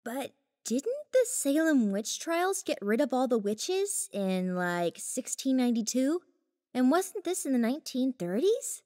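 Woman playing an eager, high-voiced teen monster girl. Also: very animated, unhurried, dark tone, dry recording, studio quality. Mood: curious. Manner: youthful, inquisitive. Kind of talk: audio drama acting.

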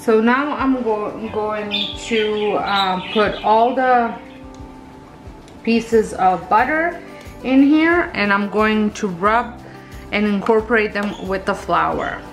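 A woman hosting a home baking video, talking through each step in a relaxed, chatty way with small self-corrections. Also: medium pitch, takes it slowly, soft background music, low-quality recording. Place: home kitchen.

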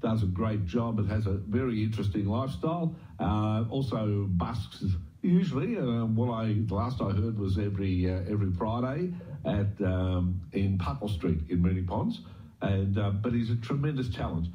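A low-pitched man talks casually as a radio presenter, with a soft, mellow tone. He rambles unscripted and hesitates as he goes. The sound is dull and lo-fi, like radio played back. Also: normal speed. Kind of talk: casual radio talk introducing music.

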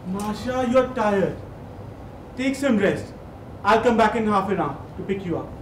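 An actor playing a smug, fussy middle-aged schoolmaster husband who repeats himself contentedly. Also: male voice, high-pitched, lively intonation, unhurried, a little hiss or hum. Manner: self-satisfied, repeats himself. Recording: echoey stage audio from far off.

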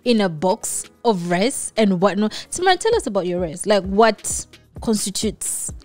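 A woman's voice: medium pitch, animated, a little slow, a little mellow, faint music behind. A young woman hosting a podcast in a small studio, chatty and casual, often humming agreement. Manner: chatty, frequent agreeing hums. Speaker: podcast host. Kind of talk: casual interview chat. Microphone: studio mic on boom arm.

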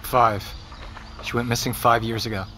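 A man's voice: mid-range voice, fairly even intonation, moderate pace, bright, forceful tone, close to an everyday voice. A man with an American accent playing a sarcastic, sullen seventeen-year-old boy in a naturalistic audio drama. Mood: defensive, annoyed. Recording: noisy outdoor ambience behind.

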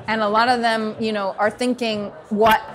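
A woman's voice: fairly high pitch, level tone, a loud crowd. American woman, fluent consultant talk. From New York. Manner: quick, fluent, many "you know" fillers and restarts. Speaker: strategy consultant, interview guest. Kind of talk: conversational interview. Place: indoor event booth, seated on sofa.

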